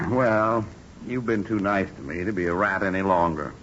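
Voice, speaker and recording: A man's voice: low-pitched, moderate pace, a bit bright, slight background noise. An actor playing a genial, frank male freeloading houseguest in a 1940s radio comedy. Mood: frank, confessing. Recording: muffled 1940s radio recording.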